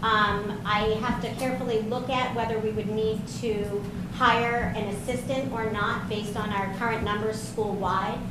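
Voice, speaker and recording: A woman with a loud, brisk delivery presents to a school board, filling pauses with frequent ums. Her voice rings around a very echoey room, and heavy noise sits under the whole recording. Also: mid-range voice, fairly even intonation. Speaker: special education director. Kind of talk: presenting at a public meeting.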